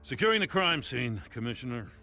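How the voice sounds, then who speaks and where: A man playing an earnest police lieutenant in a video game, urgent and emphatic, with a creaky edge. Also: mid-range voice, very animated, fairly quick, bright, forceful tone, exaggerated voice. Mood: businesslike. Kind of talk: dramatic video game acting. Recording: faint music under the voice.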